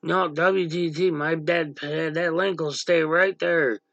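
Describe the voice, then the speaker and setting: Gravelly dude on a livestream, bright edgy tone, blunt and cranky with chat, dull dry audio. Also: male voice, a little high, steady tone, slow, no echo. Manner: blunt, irritated, snaps at chatters. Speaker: livestream host. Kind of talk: casual livestream chat reading viewer comments. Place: dim room at home, power out.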